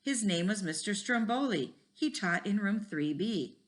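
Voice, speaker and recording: A woman's voice: mid-range voice, animated, unhurried, lightly acted. A woman voicing a friendly, easygoing male computer teacher in a storybook, with a folksy, explanatory way of talking. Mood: reminiscing.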